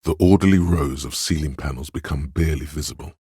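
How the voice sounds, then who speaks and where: A man voicing the inner sense of perception in a video game, narrating what the hero notices in a measured, observant, second-person way. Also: very deep voice, breathy edge, dry recording, exaggerated voice. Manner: measured, observant, softly descriptive delivery. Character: the hero's inner sense of perception, male, watchful and calm. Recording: dry close studio recording.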